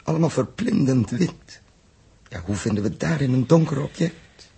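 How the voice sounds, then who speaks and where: An actor playing an earnest, resolute spaceship captain with a husky voice and weighty, deliberate delivery in a 1960s radio drama. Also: male voice, mid-range voice, expressive, mellow, exaggerated voice. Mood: grave wonder. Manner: weighty, deliberate, halting over phrases. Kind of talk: 1960s Dutch science fiction radio drama acting.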